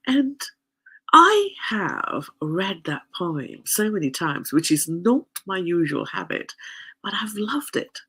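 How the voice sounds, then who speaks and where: A woman with a nasal, gravelly voice talks slowly and chattily to her listeners. Her pitch rises and falls freely, and her tone is slightly husky, with plenty of fillers and restarts. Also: fairly bright tone. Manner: chatty, rambling, frequent fillers and laughs. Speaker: podcast host. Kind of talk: casual solo talk.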